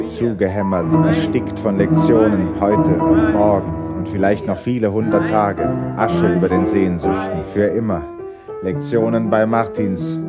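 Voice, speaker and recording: An actor narrating a literary radio play in a measured, observant manner. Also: male voice, on the low side, natural voice. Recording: muffled vintage radio play recording.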